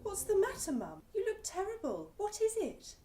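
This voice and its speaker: A British actress playing a brisk, concerned and bewildered grown-up daughter in a radio play. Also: female voice, very high voice, full character voice. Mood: breezy, then concerned. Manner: brisk, animated. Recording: room with faint noise.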